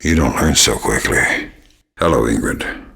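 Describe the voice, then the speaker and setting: Very deep, husky, grizzled covert agent. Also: male voice, unhurried, heavily acted. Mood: menacing. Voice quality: gravelly, husky. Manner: deadpan, quietly threatening. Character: grizzled veteran male covert agent, menacing, sardonic, dry-witted. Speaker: video game lead character. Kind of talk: dramatic video game acting.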